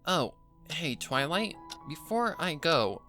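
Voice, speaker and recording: Narrator as a soft, sincere young female pony. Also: mid-range voice, very animated, slow, soft background music, pitched above the performer's own voice, full character voice. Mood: happy, chatty.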